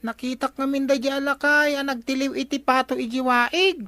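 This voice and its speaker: A woman's voice: high-pitched, steady tone, moderate pace, fairly bright tone, no echo, clean studio sound. A woman playing an inquisitive, cheeky young grandchild with an Ilocano accent in a radio comedy drama, chatty and questioning. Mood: cheeky, curious.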